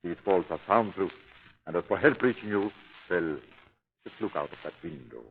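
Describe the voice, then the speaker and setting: Tinny old-radio villain, low and domineering. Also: male voice, moderate pace. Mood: controlled menace. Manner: menacing, controlling, condescending. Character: smooth-talking, domineering criminal hypnotist, megalomaniac man. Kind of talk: 1930s radio villain acting. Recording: tinny, band-limited 1930s radio recording.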